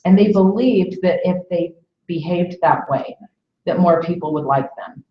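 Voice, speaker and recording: A woman's voice: fairly low pitch, measured pace, slight background noise. A woman in her early forties chatting casually and reflectively as a webinar host, feeling her way through phrases and checking for agreement. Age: early 40s. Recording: distant, echoey, muffled webinar audio.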